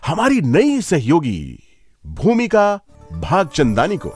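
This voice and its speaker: A man's voice: a little high, huge pitch swings, slow, husky, dry recording, studio quality, faint music behind. A man presenting a radio magazine show in a playful, conversational way, addressing listeners directly.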